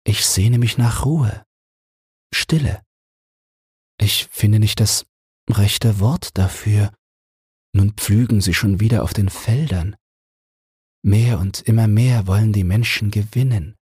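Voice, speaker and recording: A man voicing a gentle, grave queen of summer in a fairy-tale audiobook, speaking softly and slowly with wistful yearning. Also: fairly low pitch, slightly rough, no echo, studio quality, pitched above the performer's own voice, exaggerated voice. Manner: slow, soft, yearning.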